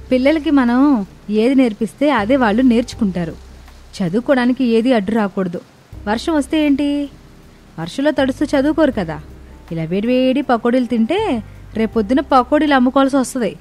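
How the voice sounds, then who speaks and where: A woman's voice: fairly high pitch, animated, a little fast, darkish tone, natural voice. A woman voicing a caring but strict young mother in a dramatized family story. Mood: angry.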